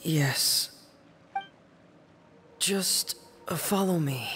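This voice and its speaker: A woman voicing a shy, awkward younger teenage boy in a video game, speaking in a hesitant, halting way. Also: deep voice, noisy background. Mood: reluctant.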